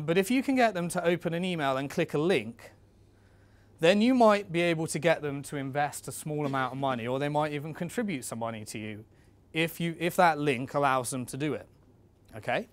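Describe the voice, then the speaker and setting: A man giving an informal instructional talk as a presenter, conversational and coaching, speaking directly to his listeners. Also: medium pitch.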